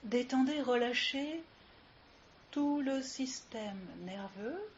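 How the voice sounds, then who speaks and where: Female yoga nidra guide, very slow and soothing, muffled live recording with audible hiss. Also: sits high. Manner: soothing, unhurried instructions with long pauses. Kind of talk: guided relaxation meditation. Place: live session with ambient sound.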